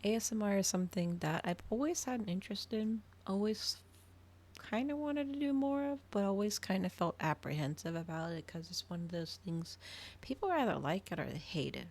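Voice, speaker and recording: A woman livestreamer chatting casually in a soft ASMR style, often dropping toward a whisper. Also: mid-range voice, animated, brisk. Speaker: livestreamer hosting an ASMR reading stream.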